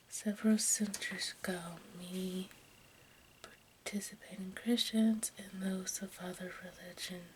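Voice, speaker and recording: A woman speaks in a breathy, unvoiced whisper for ASMR. Her delivery is slow and very even, with halting stumbles as she reads informally. A steady, noticeable noise sits behind the voice. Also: fairly low pitch, rough recording. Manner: halting, stumbles over words while reading. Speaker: ASMR video host.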